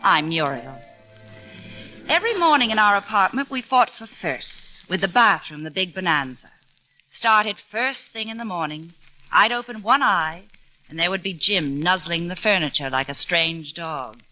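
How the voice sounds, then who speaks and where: An actress playing a wry, gently teasing wife who narrates a 1940s radio comedy. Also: female voice, lowish voice, expressive, moderate pace, faint music behind, rough recording. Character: patient, amused wife and narrator Muriel.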